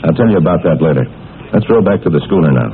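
An actor playing a calm, authoritative adult adventure leader in an old-time radio serial. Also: male voice, low voice, rapid-fire. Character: Uncle Jim, steady capable adult adventure leader. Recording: noisy, tinny old radio broadcast.

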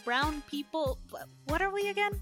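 A woman's voice: high voice, moderate pace, over music. A woman in her late twenties from the United States, co-hosting a casual podcast with a joking, bubbly manner and Spanish phrases mixed in. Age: 20s. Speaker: podcast co-host. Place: indoors at home.

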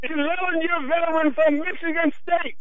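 High-voiced male caller on phone. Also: fairly even intonation, moderate pace, dry recording. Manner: enthusiastic, theatrical. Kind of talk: excited fan chat with mock arena introduction. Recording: dull lo-fi phone line.

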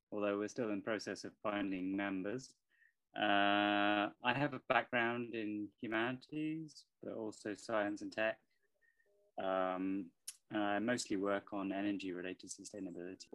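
A man's voice: on the low side, a bit bright, clean tone, low-quality recording. A man introducing himself hesitantly as a branch organizer.

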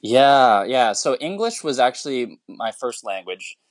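A man's voice: mid-range voice, very animated, unhurried, no room sound. A man from the United States, a teacher, speaking as a guest in a casual interview, earnest and rambling with many restarts.

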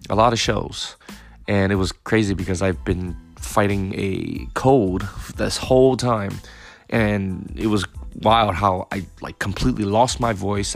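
Raspy low-voiced man in his thirties. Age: 30s. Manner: rambling, many fillers and restarts. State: raspy from recovering cold. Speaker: podcast host. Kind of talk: casual solo podcast update.

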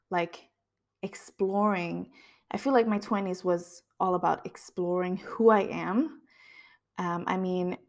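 A woman of about thirty hosting a podcast, speaking in an earnest, introspective monologue. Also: on the low side. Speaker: podcast host. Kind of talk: reflective personal monologue.

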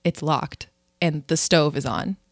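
A woman in her twenties hosts a relaxed, chatty conversation. Her voice sits a little low and sounds dry, free of room echo. She tells stories informally and with animation, slipping into quoted speech as she goes. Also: a little slow, gravelly. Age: 20s. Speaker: host of a conversational podcast. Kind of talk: casual chat, reminiscing with a friend.

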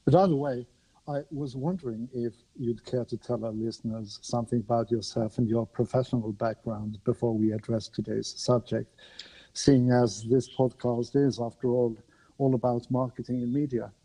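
Gravelly, mellow male podcast host. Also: medium pitch, steady tone, rough recording. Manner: courteous, formal wording, halting. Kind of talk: conversational podcast hosting. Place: remote conversation over a podcast app on a mobile. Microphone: mobile phone.

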